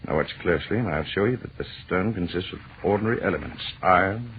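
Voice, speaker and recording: A deep, highly animated man's voice plays a dismissive, excitable professor. The delivery is emphatic old radio acting on a tinny, hissy recording. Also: fast. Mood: absorbed. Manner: emphatic, impatient. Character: brusque, dismissive, excitable male scientist professor and husband.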